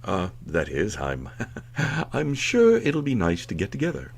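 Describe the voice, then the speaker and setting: A man's voice: lowish voice, wildly swooping pitch, a little slow, creaky, breathy edge, full character voice. A man playing a flustered, grumbling middle-aged school principal in old-time radio comedy style, stammering and put-upon. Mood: nervous, forced politeness.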